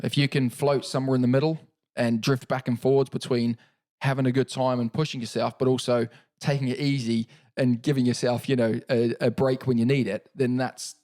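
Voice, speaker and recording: A man in his thirties with a New Zealand accent, talking in a relaxed, reflective way as an interview guest, with many fillers and restarts. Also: medium pitch. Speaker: guest, paralympic cyclist.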